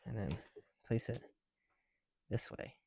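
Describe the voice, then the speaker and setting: A tired man speaking softly and casually in an ASMR style, musing to himself as he works. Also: takes it slowly, no echo. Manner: hushed, musing to self while counting pieces. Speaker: ASMR video creator. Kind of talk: soft-spoken ASMR, casual chat while building.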